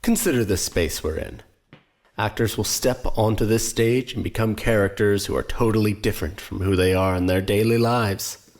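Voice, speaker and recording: A man playing a theatrical, probing stage host in an audio drama, with a deliberate, rhetorical delivery. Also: lowish voice, a little mellow, natural voice. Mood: theatrical, intriguing. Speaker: narrator and on-stage interviewer. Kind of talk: scripted stage narration.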